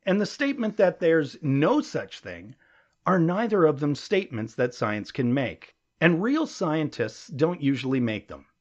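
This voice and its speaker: Expressive, higher-voiced man reading an audiobook. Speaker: audiobook narrator. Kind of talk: scripted audiobook narration of an essay. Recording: dull, lo-fi audio.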